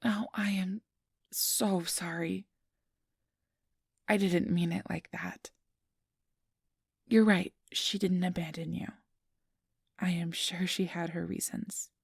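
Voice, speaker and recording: A woman playing a kindly, apologetic registration clerk in an ASMR roleplay, speaking softly and often in a whisper with a gentle, reassuring manner. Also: lowish voice, steady tone, slow pace, pauses often, no room sound, studio quality, full character voice. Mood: apologetic, soothing. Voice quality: soft, breathy, often whispered. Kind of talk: ASMR roleplay, soft whispery speech to the listener.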